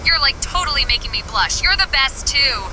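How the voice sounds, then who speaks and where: Super high squealy valley girl gushing over the captain, swoopy and breathy, noisy stream audio. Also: animated, brisk. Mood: swooning. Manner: giggly, swooning, says "like" a lot.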